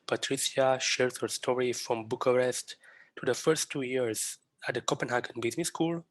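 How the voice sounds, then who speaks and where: A man hosting his own podcast, asking questions in a hesitant, halting way with fillers. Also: mid-range voice, fairly bright tone, dry recording. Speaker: podcast host. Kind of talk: podcast interview.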